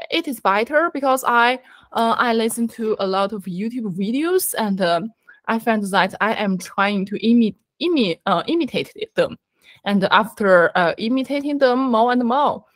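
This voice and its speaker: A woman's voice: on the high side, animated, fairly bright tone, no echo. Hesitant woman, Chinese accent, dry sound. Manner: halting, many ums and restarts. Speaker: guest learner. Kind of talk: casual conversation.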